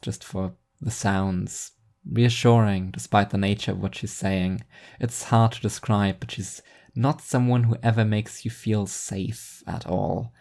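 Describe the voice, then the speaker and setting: A man's voice: on the low side, gravelly, a little mellow. A man reading first-person fiction narration in an introspective, wry manner.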